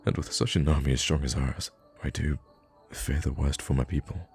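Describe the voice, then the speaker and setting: An extremely low, slightly gravelly male voice as a man acts out a kind young prince in an intimate ASMR roleplay. He speaks in courtly, formal phrases with hesitant pauses, noble and gently witty, with faint music behind him. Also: steady tone. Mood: grave, thoughtful. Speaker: voice actor in an audio roleplay.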